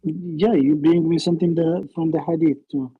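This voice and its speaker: A man's voice: fairly high pitch, steady tone, husky, no room sound, narrow, tinny audio. A man from Algeria, calling in and answering hesitantly. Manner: hesitant, halting. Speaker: caller.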